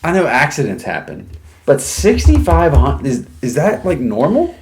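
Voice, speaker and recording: A man's voice: a little high, huge pitch swings, moderate pace, darkish tone. An American man co-hosting a casual chat podcast, blunt and sweary, often questioning what he hears. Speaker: podcast co-host.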